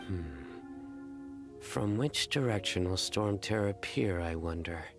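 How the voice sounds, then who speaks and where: A man playing a grave, formal wanderer in an anime-style video game, speaking in a measured way. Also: deep voice. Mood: pondering. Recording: music behind.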